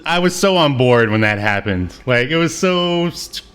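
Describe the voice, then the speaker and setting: A man's voice: mid-range voice, animated, moderate pace, fairly bright tone, smooth. A man co-hosting a podcast in casual chat, talking in a loose, rambling way with chuckles. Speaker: podcast co-host. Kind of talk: casual chat about cartoons.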